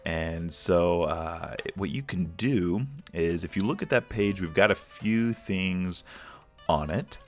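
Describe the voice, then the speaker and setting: A man's voice: sits low, takes it slowly, soft background music. A man hosting a livestream, talking casually with many fillers and restarts, a little sniffly from a cold. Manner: rambling, filler-heavy, restarts often. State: slightly congested from a cold. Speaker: livestream host.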